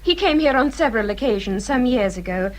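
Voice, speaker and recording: An actress playing a cool, snobbish young English gentlewoman in a radio period drama, well-spoken and haughty. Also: a little high, lively intonation, a little fast, close to an everyday voice. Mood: dismissive.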